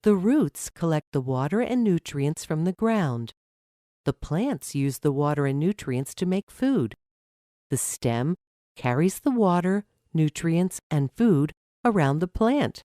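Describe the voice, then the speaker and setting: A woman voicing a patient, encouraging mother who explains things gently to her child in a storybook. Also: sits low, very animated, slow pace, no echo, studio quality, exaggerated voice. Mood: patient, explanatory.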